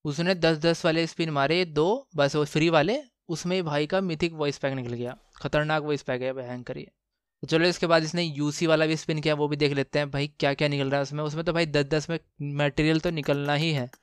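A man giving casual, excited gameplay commentary, slangy and exclamatory, repeating phrases for emphasis. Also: a little high, a little fast, clear, resonant tone, no echo, studio quality. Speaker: gaming channel host.

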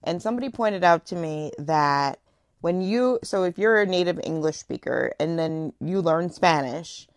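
A woman's voice: fairly low pitch, animated, normal speed, no room sound, studio quality. A woman hosting a radio talk show, asking thoughtful, conversational questions. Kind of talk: radio interview.

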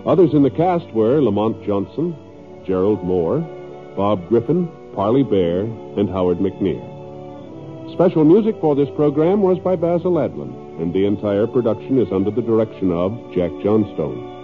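Male 1950s network announcer. Polished, formal, on the low side, narrow-band sound over music. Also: very animated, moderate pace. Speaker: radio announcer. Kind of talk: 1950s radio credits announcement, scripted. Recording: tinny old radio broadcast, music behind.

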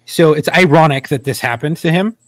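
A man's voice: fairly high pitch, a little fast, no echo. A man co-hosting a podcast in casual conversation. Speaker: podcast co-host. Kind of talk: casual chat. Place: home room, on a video call.

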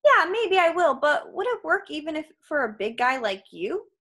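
A woman's voice: sits high, sing-song, moderate pace, bright, forceful tone, pitched above the performer's own voice, exaggerated voice. A woman voicing a young boy hero in a storybook, wary and sly, keeping up a casual front. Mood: feigned casual. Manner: feigned casualness with a bully. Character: young boy hero, wary, quick-witted, slyly flattering.

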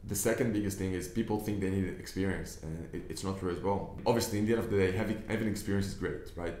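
A man's voice: sits low, quick pace, a little mellow, a little hiss or hum. A young man from Israel with an Israeli accent, whose first language is Hebrew. He talks earnestly to a camera from a desk indoors, explaining his ideas with many restarts. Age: young adult. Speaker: business investor. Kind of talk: casual talk to camera. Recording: echoey room.

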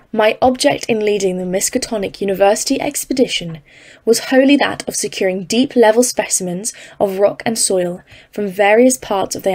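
Woman acting formal woman geologist, faintly gravelly voice. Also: mid-range voice, a little mellow, natural voice. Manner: formal, measured, literary. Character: Evelyn, earnest woman geologist leading polar expedition. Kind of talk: audio drama narration and dialogue.

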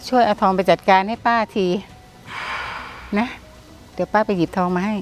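A woman's voice: mid-range voice, moderate pace, lightly acted. A woman playing a worried older aunt in a skit, with music behind. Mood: hesitant. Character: worried older aunt, later vengeful ghost.

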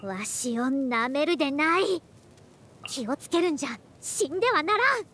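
High-pitched, haughty girlish ancient scholar. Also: animated, normal speed, pushed and bright, slight background noise. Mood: proud, then earnest. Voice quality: girlish voice with elderly turns of phrase. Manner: haughty, lecturing, old-fashioned elder speech. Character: youthful-looking century-old female scholar, proud, bossy senior. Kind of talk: Japanese anime-style game voice acting.

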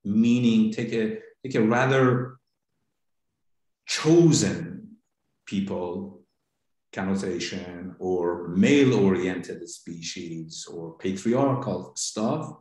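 A man with a Persian accent, a native Farsi speaker, giving a philosophy seminar lecture in a deliberate, halting way with frequent pauses. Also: lowish voice, darkish tone. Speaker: seminar instructor. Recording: very echoey room.

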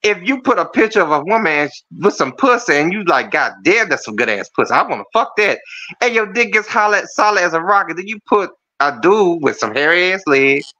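A man's voice: sits high, very animated, fairly quick, pushed and bright. A man calling in to a chat show, with a feminine-sounding voice, talking bluntly and rambling with plenty of swearing. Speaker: caller. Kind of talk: casual call-in chat. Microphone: video call. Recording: muffled call audio.

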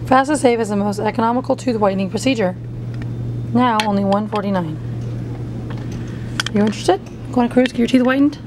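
A woman's voice: fairly high pitch, a little fast, long pauses. A middle-aged woman chatting casually as a vlog host, recorded on a handheld camera. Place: aboard a cruise ship, mostly indoors. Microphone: handheld camera at arm's length. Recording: busy crowd noise behind.

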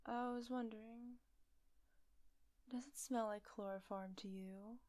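A woman playing a teasing, manipulative villain kidnapper in roleplay audio, softly half-whispering in a coaxing, unhurried way. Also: on the high side, steady tone, no echo, natural voice. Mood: smug, coaxing. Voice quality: soft, breathy, often whispery. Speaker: voice actor.

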